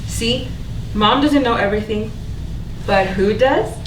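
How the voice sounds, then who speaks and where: A woman playing a bitter, sharp-tongued older sister in a staged play reading, sullen and exasperated. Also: a little high, unhurried, lightly acted. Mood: reassuring. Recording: distant mic, echoey room, faint noise.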